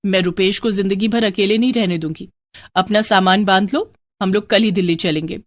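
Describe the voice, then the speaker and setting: A woman voicing a determined, caring young married woman in a dramatized moral story, with expressive, earnest delivery. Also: mid-range voice, brisk, natural voice. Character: determined, caring young married sister-in-law, sly and warm.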